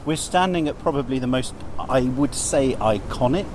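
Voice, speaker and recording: A man's voice: mid-range voice, expressive, normal speed. A man hosting a casual walking interview outdoors, thinking aloud as he talks in a hesitant way. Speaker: podcast host. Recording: outdoor field recording with ambient noise. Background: outdoor ambience.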